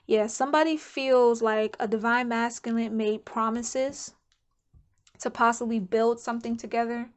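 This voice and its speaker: A woman's voice: a little high, steady tone, normal speed. A woman giving a casual pick-a-card tarot reading to camera, chatty and candid, thinking aloud as she goes. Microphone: camera mic.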